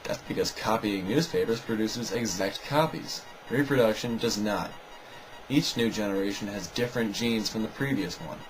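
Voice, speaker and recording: Low-voiced sarcastic man over music. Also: normal speed, poor audio. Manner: sarcastic, dismissive. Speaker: video narrator rebutting another video. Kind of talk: argumentative scripted commentary.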